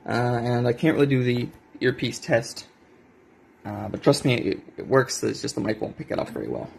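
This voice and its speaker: A man's voice: medium pitch, expressive, moderate pace, creaky, a little mellow, a little hiss or hum. A man giving a casual, unscripted show-and-tell as a hobbyist, hesitant and correcting himself, recorded on a handheld cell phone indoors at a desk. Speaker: hobbyist video maker.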